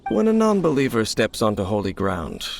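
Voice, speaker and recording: A man voicing a calm, guarded, cynical male wanderer in a video game, measured and wry. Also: lowish voice, gravelly, exaggerated voice. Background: game music.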